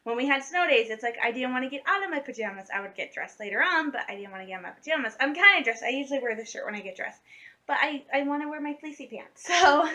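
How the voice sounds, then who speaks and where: A woman's voice: sits high, bright, forceful tone. A woman of thirty chatting casually to camera at home, rambling along with lots of fillers and little laughs. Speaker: vlogger.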